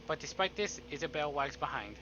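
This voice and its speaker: A man's voice: a little high, expressive, fairly quick, background noise. A man streaming a visual novel, reading narration aloud and cracking playful, sarcastic comments in between. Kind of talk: live narration mixed with joking commentary. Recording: dull lo-fi stream audio over music. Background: game music.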